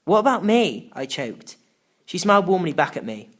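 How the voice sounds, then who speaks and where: A woman's voice: lowish voice, sing-song, normal speed. A woman narrating a children's story in the first person, reading in a dramatic, emotive way. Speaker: audiobook narrator.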